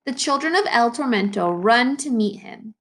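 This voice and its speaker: Expressive, high-pitched woman teacher reading aloud. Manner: expressive, instructive, pauses to explain and question. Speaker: elementary school teacher. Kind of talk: children's picture book read-aloud with questions to pupils.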